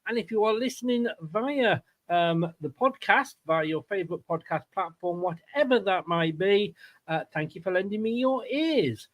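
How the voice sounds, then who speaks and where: A man with a notably high voice hosts a casual football stream. He chats informally and teases as he goes, with an easy, jokey manner that readily breaks into laughter. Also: lively intonation, moderate pace, a bit bright. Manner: jokey, teasing, laughs easily. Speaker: host of a football fan channel show. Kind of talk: casual livestream chat.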